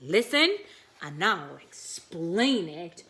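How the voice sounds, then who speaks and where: A woman voicing Daddy Smudge, a gentle father ink smudge in a children's story, speaking lower and louder with reassurance. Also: sing-song, very slow, heavily acted. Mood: reassuring. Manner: low, loud and reassuring.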